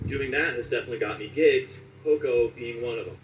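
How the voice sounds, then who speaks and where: Interview guest, male, late forties, mid-pitched conversational storyteller, recorded with noticeable background noise. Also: a little fast, highs cut off. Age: late 40s. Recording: roomy echo, distant mic, clear background noise.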